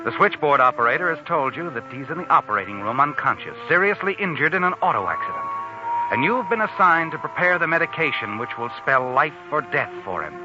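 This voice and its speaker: A sinister, all-knowing storyteller narrates 1940s radio suspense in an insinuating, taunting way. His pitch swings dramatically. Music plays behind him on a muffled old recording. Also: sing-song, a little fast. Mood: taunting, ominous.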